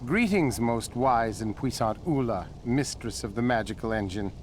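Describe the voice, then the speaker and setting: An actor playing a wily, sardonic little gnome-like creature in a fantasy video game, dry and mocking. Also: male voice, medium pitch, lively intonation, moderate pace, a little hiss or hum, natural voice. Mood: flattering, urgent. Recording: faint music underneath.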